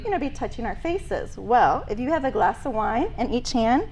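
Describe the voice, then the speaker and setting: A woman's voice: a little high, very animated, moderate pace, darkish tone, slight background noise, rough recording. A woman co-hosting a casual wine trivia chat, playful and chatty. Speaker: co-host.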